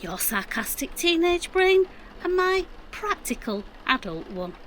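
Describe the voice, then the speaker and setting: A woman's voice: very high-pitched, sing-song, a little slow, a bit bright, a little hiss or hum, full character voice. A middle-aged woman playing a defensive, practical mum in an audio drama, with a Yorkshire accent and matter-of-fact delivery. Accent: Yorkshire English. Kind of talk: naturalistic audio drama acting.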